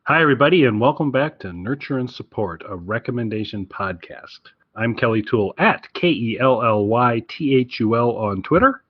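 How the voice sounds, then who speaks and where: A man's voice: mid-range voice, animated, normal speed, hardly any pauses, slightly rough, no room sound, muffled recording. A man hosting a podcast, chatting casually about his recommendations in an enthusiastic, rambling way. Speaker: podcast host.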